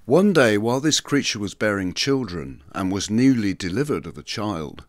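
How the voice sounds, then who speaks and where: A man's voice: lowish voice, sing-song, moderate pace, no room sound. A man giving a dramatic scripted narration as a storyteller.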